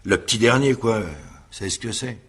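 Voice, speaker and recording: A man's voice: mid-range voice, normal speed, fairly bright tone, husky. A male actor playing a nervous, evasive widower in a radio drama, hesitant, stammering and defensive as he is questioned. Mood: hesitant, subdued. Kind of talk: French radio drama acting, naturalistic.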